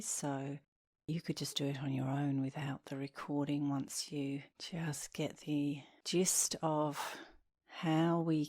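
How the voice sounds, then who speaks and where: A woman leading a guided meditation as an instructor, speaking softly and soothingly, with gentle, breathy phrase endings. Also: low-pitched. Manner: soothing, gentle, unhurried with long pauses.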